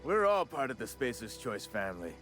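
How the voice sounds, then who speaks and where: Game role: affable small-town undertaker. Mid-pitched, folksy, chatty frontier talk over faint music. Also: male voice, sing-song, fairly quick, a bit bright. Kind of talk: video game character acting.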